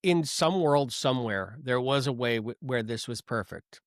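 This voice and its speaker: A man's voice: medium pitch, lively intonation, normal speed, dry recording, clean studio sound. A man co-hosting a casual podcast, thinking aloud and wondering about questions. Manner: thoughtful, wondering aloud, reads listener comments. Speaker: podcast co-host.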